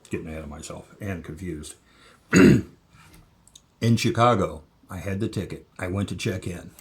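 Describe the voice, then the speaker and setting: A man vlogger telling a travel story casually, rambling and correcting himself with many restarts. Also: deep voice.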